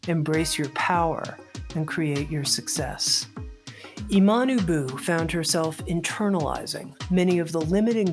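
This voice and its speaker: A woman host reading a scripted introduction of her guest and then asking an opening question in a measured, deliberate way. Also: low-pitched. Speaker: podcast host. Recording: faint music underneath.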